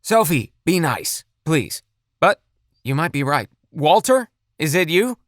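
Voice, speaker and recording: A man playing an anxious, caring, gently chiding father in a children's audio drama. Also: fairly high pitch, very animated, takes it slowly, dry recording, clean studio sound. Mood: concerned.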